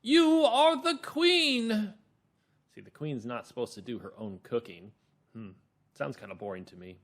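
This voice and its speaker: A man's voice: on the low side, brisk, creaky, a little mellow. A man leading a read-along lesson for children, explaining things simply and expressively.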